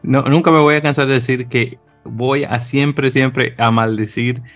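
A man's voice: medium pitch, steady tone, a little slow, fairly bright tone, soft background music. A man hosting a casual chat, talkative, with many stammered restarts and easy laughter. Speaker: podcast host.

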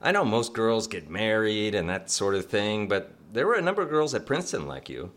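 A smitten young executive in a radio play. He is earnest and gentlemanly, with very lively, swooping intonation. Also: lowish voice, fairly quick. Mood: eager, playful. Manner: earnest, courteous, eager. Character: eager, gentlemanly young Princeton-educated executive suitor. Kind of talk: live radio drama.

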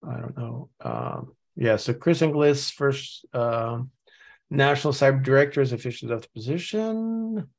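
A man's voice: medium pitch, lively intonation, moderate pace, vocal fry. Male podcast host, mid-range voice, casual and musing, reads notes aloud. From Norway. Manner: thinks aloud, reading and musing with many restarts. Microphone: remote call setup.

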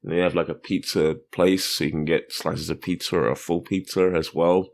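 Deep-voiced, measured podcaster. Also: male voice, little pitch movement, creaky. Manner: measured, descriptive, thoughtful. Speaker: podcast co-host reporting from the game. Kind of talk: casual sports podcast chat.

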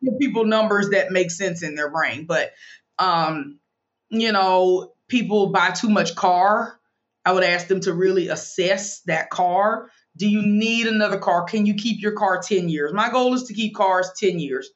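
A woman's voice: medium pitch, dull audio. A woman in her thirties, a financial planner, talking frankly and emphatically in an interview. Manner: brisk, emphatic, frank advice-giving. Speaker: financial planner and money coach. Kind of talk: candid interview talk.

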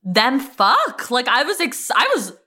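A woman speaks as a podcast co-host in a bright voice with very animated pitch. Her style is casual recap chat, informal and gushing. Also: measured pace, breathy edge.

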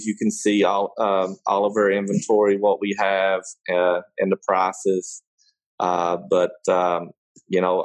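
A man's voice: on the low side, low-quality recording. A middle-aged man from Germany, a small business owner answering interview questions hesitantly. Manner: hesitant, many fillers and restarts.

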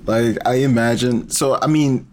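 A man's voice: medium pitch, measured pace, background noise. A man in a casual, reflective conversation as a podcast guest, hesitant and searching for his words. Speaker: podcast guest, fiction podcast creator.

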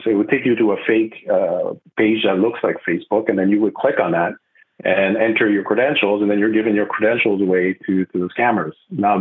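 Man on a phone-like line. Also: fairly low pitch. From Montreal, Canada. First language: French. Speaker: guest expert, retired police officer. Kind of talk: conversational interview answers.

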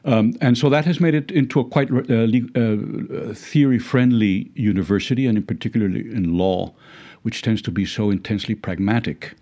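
Low-voiced Dutch-accented middle-aged man. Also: moderate pace, dark tone. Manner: hesitant, many fillers and restarts, wry chuckles. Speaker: legal philosophy professor. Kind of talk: reflective interview talk.

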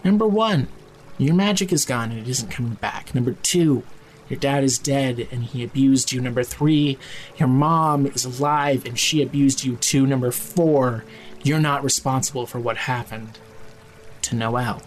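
A man's voice: medium pitch, normal speed, natural voice. An actor playing an exasperated but caring man, blunt, sardonic and casual, in naturalistic audio drama dialogue. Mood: sardonic, weary. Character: Nico, exasperated, sweary, caring male friend. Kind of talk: fiction podcast acting, naturalistic dialogue.

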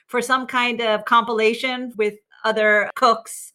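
A woman's voice: on the high side, flat delivery, unhurried, bright, forceful tone. A woman hosting a casual interview, asking enthusiastic questions with frequent restarts. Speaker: interview host.

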